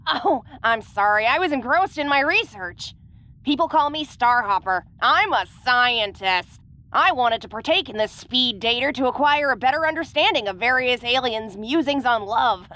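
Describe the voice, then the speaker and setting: A woman's voice: fairly high pitch, animated, normal speed, sharp tone. A woman playing an eccentric, studious alien scientist in an audio roleplay, speaking formally and clinically. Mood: curious, clinical. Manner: formal, clinical, precise wording.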